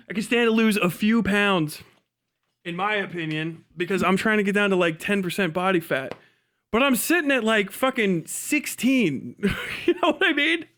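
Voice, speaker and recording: High-pitched man, casual profane streamer. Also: sharp tone. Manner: incredulous, mocking, heavy swearing and slang. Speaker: livestream host reacting to a video. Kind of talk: casual profane reaction commentary.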